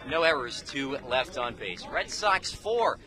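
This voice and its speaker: A man's voice: mid-range voice, big pitch swings, a little slow, a crowd in the background. A man calling live baseball play-by-play for radio in clipped, telegraphic phrases. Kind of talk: live sports commentary. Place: outdoors at a baseball game.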